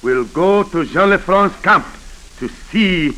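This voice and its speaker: Imperious Haitian official. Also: male voice, high-pitched, lively intonation, slow pace, pushed and bright, full character voice, low-quality recording. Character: commanding, sardonic Haitian official, amused and theatrical. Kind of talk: 1940s radio acting.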